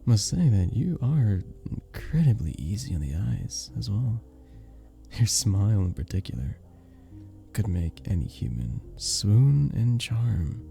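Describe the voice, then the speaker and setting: Charming male vampire bartender, acted by a man for ASMR roleplay: lowish, very soft dark tone, teasing and flirtatious, with lingering pauses. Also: sing-song, creaky, faint music behind, exaggerated voice. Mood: courteous, flirtatious. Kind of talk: scripted ASMR roleplay, addressing the listener.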